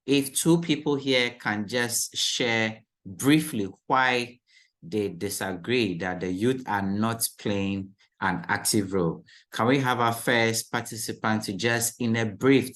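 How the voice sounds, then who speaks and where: A man moderating a panel session, slowly coaxing the audience to speak and introducing the first speaker. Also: on the low side, a bit bright. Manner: slow, coaxing, repeats appeals to the audience.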